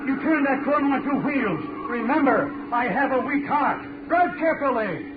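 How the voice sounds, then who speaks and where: High, blustering old gentleman. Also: lively intonation, moderate pace. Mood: alarmed, frightened. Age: older. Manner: imperious, blustering. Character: indignant, fretful wealthy old man, stubborn father. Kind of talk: 1930s radio acting. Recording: tinny, noisy 1937 radio recording.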